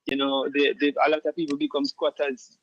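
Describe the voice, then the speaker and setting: A Jamaican man answering interview questions in an easygoing way, with lots of fillers and restarts and patois shout-outs. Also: on the high side, completely flat delivery, brisk, lo-fi sound. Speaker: musician guest.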